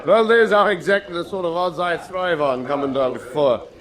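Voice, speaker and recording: A self-important middle-aged British naval officer played by a male actor holds forth in a radio play. His voice sits a little high, and he tells his tales with smug, theatrical pomp. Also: normal speed, a crowd in the background, lightly acted. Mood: boastful. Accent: British English. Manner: pompous, self-satisfied, theatrical storytelling. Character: pompous, boastful middle-aged British naval commander spinning war tales. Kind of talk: radio drama acting.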